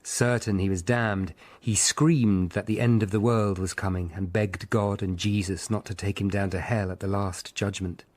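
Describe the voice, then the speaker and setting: An actor playing a grave, observant Tudor lawyer who narrates his own story in measured, literate phrases for a radio drama. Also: fairly low pitch, steady tone, no echo, lightly acted. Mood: sombre. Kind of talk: scripted first-person radio drama narration.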